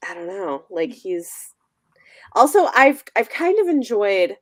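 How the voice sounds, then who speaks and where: A woman's voice: mid-range voice, very animated, a little slow, no echo, studio quality. A woman co-hosting a casual book discussion, thoughtful and wry, full of likes and restarts, on a video call. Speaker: book club co-host.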